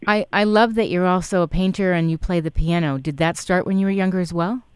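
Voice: medium pitch, fairly even intonation, fast, clear, resonant tone, dry recording, studio quality. An interview host asking questions, giving encouraging replies and reading promotional copy for listeners. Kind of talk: interview chat.

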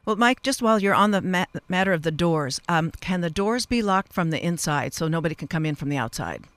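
A woman's voice: on the low side, animated, no echo. A middle-aged woman moderates a radio interview in a dry studio. She feels her way through unscripted questions, breaking off and rephrasing as she goes. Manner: halting, rambling, self-interrupting questions. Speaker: radio show host.

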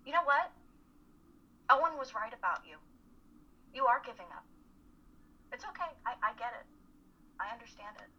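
A woman's voice: on the high side, lively intonation, normal speed, pauses often, slight background noise, natural voice. A young woman playing a caring, worried and insistent college student in a dramatic play reading. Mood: exasperated, firm. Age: young adult. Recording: muffled, telephone-like remote call audio.

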